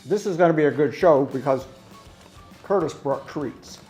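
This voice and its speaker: A man from the US Upper East Coast hosting a casual interview show, chatty and quick to laugh. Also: on the high side, dark tone, faint music behind, poor audio. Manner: chatty, laughs easily, restarts often. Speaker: talk show host.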